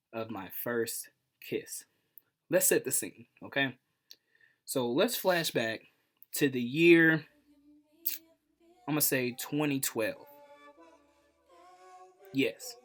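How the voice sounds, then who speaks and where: A man in his twenties with an American accent, hosting a casual storytelling vlog in an animated, playful, slangy way. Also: medium pitch. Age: 20s. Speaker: YouTube host.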